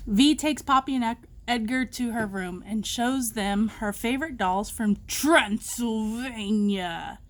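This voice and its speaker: A woman reading a children's storybook aloud in an expressive, dramatic way. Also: a little high, slow, few pauses. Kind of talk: animated storybook read-aloud.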